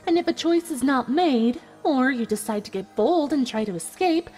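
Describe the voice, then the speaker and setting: A woman acts out a vengeful cartoon unicorn villainess in an audio drama. Her voice sits fairly high and she taunts with calculated, scornful deliberation. Soft music plays behind her. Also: expressive, moderate pace. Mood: gloating menace. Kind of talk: dramatic audio fan fiction acting.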